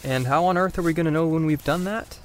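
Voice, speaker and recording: A man's voice: on the high side, quick pace, natural voice. A man playing a sceptical, sardonic teenage boy in a naturalistic audio drama, cautious and questioning. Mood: exasperated.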